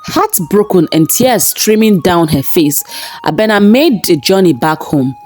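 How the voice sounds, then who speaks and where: A woman with a fairly low voice narrates a folktale from a script. Her delivery is measured and dramatic, with very lively swings in pitch. Music plays softly behind her. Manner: measured, expressive storytelling delivery. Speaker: storyteller and narrator. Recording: narration over background music. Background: background music.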